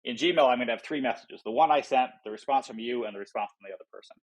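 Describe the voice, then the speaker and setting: A man's voice: mid-range voice, very fast. A man giving informal, explanatory interview answers about a product, often voicing imagined quotes as he goes. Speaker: software company founder, podcast guest.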